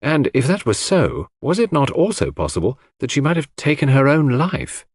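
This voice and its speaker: A man's voice: medium pitch, huge pitch swings, normal speed, dry recording, studio quality. A man reading scripted literary fiction as a dramatic audiobook narrator.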